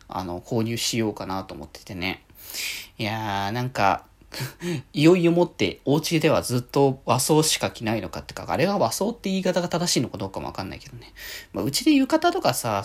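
A man hosting a casual solo radio talk, chatting in a rambling, conversational way. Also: medium pitch.

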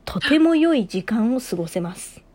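Lively high-voiced woman chatting. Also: normal speed. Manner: expressive, animated storytelling. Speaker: radio talk co-host. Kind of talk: casual chat and anecdotes.

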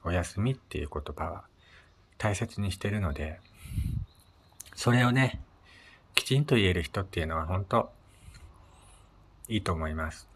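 Low-voiced man hosting a casual talk show. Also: lively intonation, normal speed, pauses often, fairly bright tone, a little hiss or hum. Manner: polite, conversational. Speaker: host of a personal audio show. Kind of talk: casual solo radio-style chat.

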